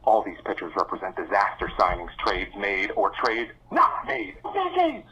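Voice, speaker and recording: A man's voice: on the low side, wildly swooping pitch, moderate pace, bright, forceful tone, breathy edge. A man from Connecticut leaving a theatrical, declamatory fan rant on a muffled lo-fi phone voicemail. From Connecticut, United States. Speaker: voicemail caller. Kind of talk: dramatic prepared fan rant.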